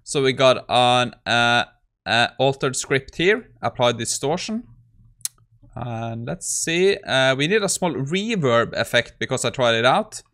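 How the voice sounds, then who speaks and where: A man in his thirties talks through code in a casual, conversational way. He sounds like a tech creator at his desk, speaking at a middling pitch into a close microphone. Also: expressive, measured pace, bright, forceful tone. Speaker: tech YouTuber. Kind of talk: casual screen-recorded coding walkthrough.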